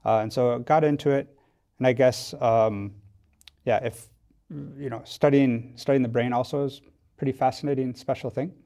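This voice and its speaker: A man's voice: mid-range voice, steady tone, normal speed, mellow. An American man speaking as an interview guest in a studio, explaining things thoughtfully with a hesitant delivery. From United States, Wisconsin. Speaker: podcast guest, tech company founder. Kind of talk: casual interview talk.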